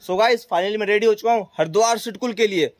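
A man's voice: high-pitched, fast, no echo. A young man chatting casually to viewers in a repetitive vlog style, recorded outdoors at arm's length. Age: young adult. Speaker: vlog host. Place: outdoors along industrial roadsides. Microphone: camera held at arm's length. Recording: noisy street with vehicle traffic.

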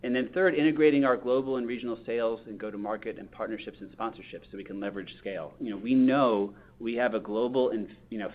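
A male company executive with a lowish voice answers on an earnings call. His phrasing is measured and corporate, and the audio is slightly dull and lo-fi. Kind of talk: earnings call answer, prepared business talk.

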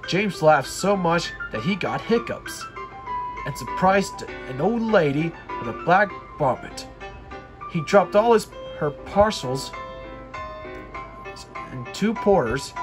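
Male storybook reader, expressive and unhurried, somewhat halting, music behind. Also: on the high side. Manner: halting, repeats and corrects phrases. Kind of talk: children's story read-aloud.

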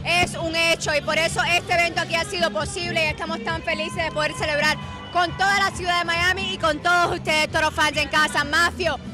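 A woman's voice: very high-pitched, steady tone, brisk. A young woman hosting an outdoor event interview, speaking in an effusive, enthusiastic way with music behind her. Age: young adult. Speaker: TV show host and interviewer. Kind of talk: upbeat on-location event interviewing. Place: outdoors at a night park festival. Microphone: handheld microphone.

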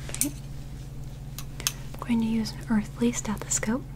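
A woman in her twenties performing a gentle, methodical ASMR medical roleplay as an alien examiner, reassuring and close to the microphone. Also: on the high side, little pitch movement, normal speed, noisy background. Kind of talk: soft whispered ASMR roleplay.

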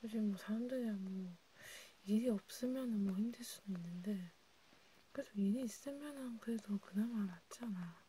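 A woman whispering breathily in an intimate, halting ASMR role play, talking informally as if to one listener. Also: mid-range voice. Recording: steady background noise with clicks.

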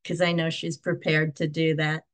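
A woman's voice: deep voice, level tone, moderate pace. A woman chatting casually as a participant on a group video call. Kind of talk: casual chat.

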